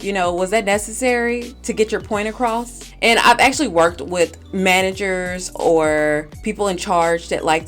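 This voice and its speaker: A woman with a fairly low voice presents a film recap and review. She delivers scripted narration and commentary as the host. Soft music plays faintly underneath her.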